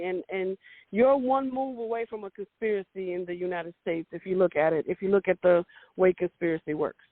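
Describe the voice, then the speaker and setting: A woman's voice: medium pitch, no room sound. A woman in her forties from Romania, telling her story as an interview guest with many hesitant fillers. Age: 40s. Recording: dry, tinny phone line.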